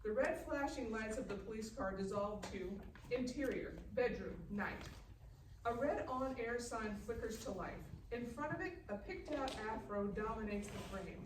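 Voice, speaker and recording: A woman's voice: on the high side, animated, moderate pace. A woman reading screenplay action lines as narrator at a live table read. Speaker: stage-direction narrator. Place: live reading in a festival room. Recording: echoey room, distant mic, faint noise.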